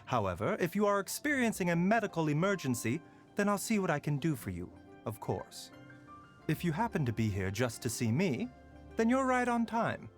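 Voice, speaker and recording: An actor playing a calm, courteous male doctor in a game, with a soft, measured way of talking. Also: a little high, faint music behind. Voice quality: soft, measured, sighs and chuckles. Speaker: game character voice lines. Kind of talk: game voice lines.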